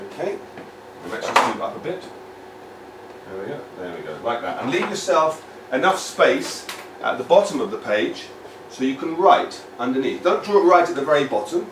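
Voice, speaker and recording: A British man from the United Kingdom, an English teacher giving a lively lesson to children, repeating words and asking the viewer questions. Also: mid-range voice, normal speed, a little hiss or hum. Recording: echoey room, mic at a distance.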